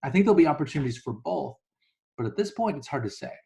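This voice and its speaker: A man's voice: a little high, expressive, fast, vocal fry, mellow. A man speaking as an investor guest in an interview, explaining things casually and acting out hypothetical thoughts as examples. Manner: conversational, illustrates points with hypothetical quoted thoughts.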